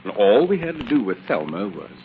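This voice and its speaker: An actor playing a scheming, commanding kidnapper boss with a suave manner, in 1940s radio style. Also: male voice, fairly low pitch, big pitch swings, fairly quick. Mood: smug. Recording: muffled, faintly noisy old radio recording.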